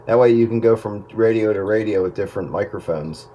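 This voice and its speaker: A man giving casual technical advice on the radio in a halting way. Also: lowish voice, warm tone, highs cut off. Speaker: radio hobbyist with recording background. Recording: radio chat with faint static.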